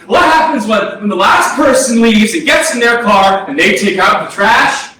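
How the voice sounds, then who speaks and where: A man's voice: sits high, expressive, moderate pace, bright, forceful tone. A man playing a bitter, accusing dead brother who swears and confronts, in a staged play reading. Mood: furious, accusing. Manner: profane, accusing, dramatic. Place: arts centre theatre. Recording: echoey stage, distant mic, faint noise.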